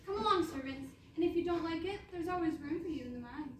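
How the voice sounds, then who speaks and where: A woman plays a perky young princess on stage, high-voiced and sure of her own importance. She is sweet and bossy at once. The sound is echoey and noisy, from a distant mic. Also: animated, fast. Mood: bossy, teasing. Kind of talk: comic stage acting. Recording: echoey hall, distant mic, clear noise.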